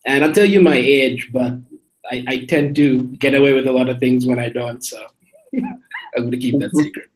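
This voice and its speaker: Sri Lankan-born male entrant, halting and joking, medium voice. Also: medium pitch, wildly swooping pitch, low-quality recording. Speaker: contest entrant.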